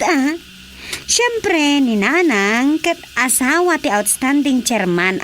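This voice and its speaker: Lady playing excitable proud ilocano wife, high voice, chatty, radio drama, bit of noise behind. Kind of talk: radio drama comedy acting.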